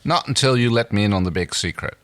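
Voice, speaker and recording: A man voicing an angry, confrontational male astronaut hero who speaks loudly and forcefully. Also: fairly low pitch, lively intonation, fast talker.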